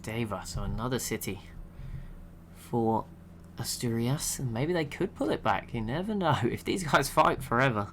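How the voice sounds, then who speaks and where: A young man giving relaxed, chatty commentary over a strategy game he is watching, laughing to himself now and then. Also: mid-range voice, moderate pace, slight background noise. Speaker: gaming channel host.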